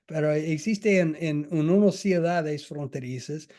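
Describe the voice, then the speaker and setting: Slow, halting lecturer with a mellow voice. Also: male voice, fairly high pitch. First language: not Spanish. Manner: halting, many restarts and fillers. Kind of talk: academic lecture. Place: lecture hall.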